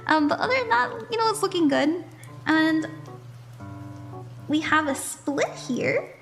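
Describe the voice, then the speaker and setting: A young woman from the southern United States, chatting with viewers while giving live palm readings, bubbly and quick to laugh. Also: extremely high pitch, animated, vocal fry, fairly bright tone, soft background music.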